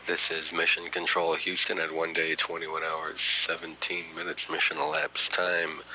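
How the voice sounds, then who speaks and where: A man giving mission status commentary for mission control, halting with many fillers, on a muffled archival broadcast recording. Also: sits low, pushed and bright, a little hiss or hum. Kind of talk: mission commentary over radio.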